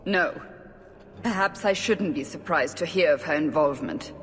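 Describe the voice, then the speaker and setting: A woman's voice: low-pitched, animated, moderate pace, a bit bright. A stern, suspicious interrogator for a fantasy video game. She is demanding and skeptical, with severe impatience. Her voice rings with long reverb over faint background noise.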